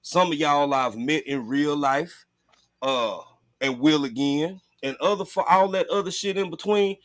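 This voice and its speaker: A man's voice: on the high side, measured pace, a bit bright, no room sound. A man chatting casually on a livestream in a blunt, profane, informal way. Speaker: livestream host.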